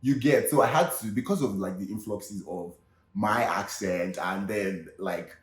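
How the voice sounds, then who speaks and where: A man's voice: fairly low pitch, measured pace. Chatty young Nigerian man, echoey room. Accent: Nigerian Yoruba. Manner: chatty, with many fillers like "you know" and "you get". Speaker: vlog host. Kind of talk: casual comedic monologue.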